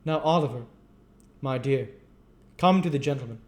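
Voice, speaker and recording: A male narrator acting out a self-important storybook parish official, pitched well up and delivered forcefully. He declaims pompously, bullying those below him and fawning on those above, with rough grammar. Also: moderate pace, lightly acted. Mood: falsely kind, menacing. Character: pompous, bullying parish beadle, scolding and obsequious. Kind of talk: audiobook character voice.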